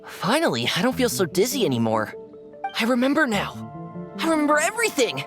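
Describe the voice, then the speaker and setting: A woman's voice: on the high side, wildly swooping pitch, fairly quick, music in the background. A woman voicing a young boy who is an imaginary friend, earnest and impulsive. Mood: relieved. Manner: earnest and pleading. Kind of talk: video game voice acting.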